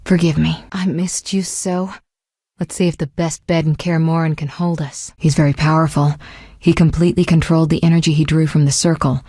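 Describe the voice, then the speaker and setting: A proud young sorceress from a fantasy video game, with a fairly low female voice. She is confident and articulate, able to command or to show tenderness, and is recorded close and dry. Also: little pitch movement, a little fast, warm tone, husky, dry recording. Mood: gentle, wistful, then intimate, soft, then serious, informative.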